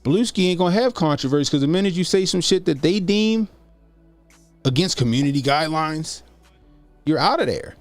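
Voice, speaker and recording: A man's voice: fairly high pitch, expressive, normal speed, no echo, faint music behind. A man in his forties doing casual political commentary on a stream, slangy and loose, repeating himself as he talks. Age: 40s.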